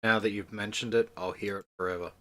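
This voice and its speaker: A man's voice: on the low side, fairly even intonation, fast, pushed and bright. A man in a casual talk show chat, making dry, wry remarks.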